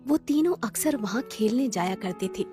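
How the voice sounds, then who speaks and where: A woman's voice: on the high side, expressive, moderate pace, dark tone, soft background music. A woman narrating a scripted children's story.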